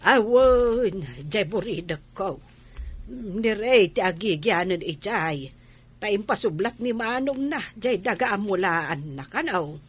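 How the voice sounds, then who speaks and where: A woman with a Filipino accent playing a scandalised, sympathetic village woman in a comedy radio drama, given to drawn-out exclamations. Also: mid-range voice, expressive, slightly rough.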